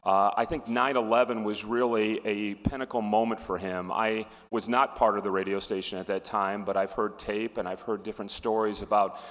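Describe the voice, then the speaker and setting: A man's voice: on the low side, fairly even intonation, normal speed, hardly any pauses, fairly bright tone. Man, presenter, wry tribute speech, reverberant hall. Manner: wry, with fillers and restarts. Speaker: presenter at an awards ceremony. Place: large ballroom.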